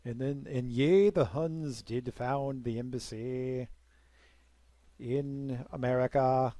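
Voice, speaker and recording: A man's voice: mid-range voice, slow, mellow, dry recording. A young man casually commenting while playing a strategy game, rambling with self-deprecating jokes and asides. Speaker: let's play commentator.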